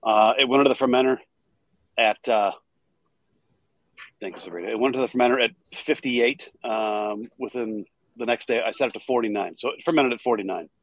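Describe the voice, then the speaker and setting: A male brewmaster guest answering questions casually, pausing often to recall details. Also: mid-range voice, dry recording, poor audio. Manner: hesitant, lots of uh and um while recalling numbers.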